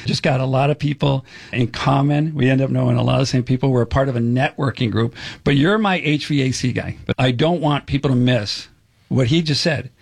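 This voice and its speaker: Gravelly, jokey male radio host. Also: medium pitch, fairly quick. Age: middle-aged. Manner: jokey, effusive. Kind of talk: chatty radio interview.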